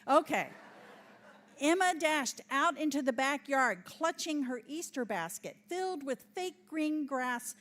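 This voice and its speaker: A woman's voice: sits high, animated, a little slow, fairly bright tone. A woman reading a children's story aloud to a congregation, recorded in a noisy room with an audience. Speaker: children's story reader at a church service. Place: church sanctuary before a congregation.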